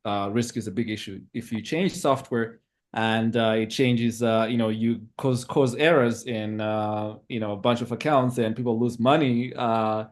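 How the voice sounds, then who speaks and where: A man from Zimbabwe in his thirties, a podcast guest who talks in a hesitant, reflective way with many pauses. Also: mid-range voice, fairly even intonation. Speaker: interview guest. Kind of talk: casual interview talk.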